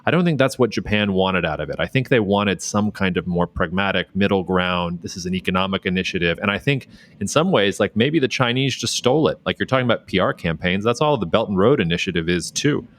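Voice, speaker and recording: A man hosting a podcast, chatting in a relaxed, jokey way with lots of fillers. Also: low voice, fairly quick. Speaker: podcast host. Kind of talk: casual podcast conversation.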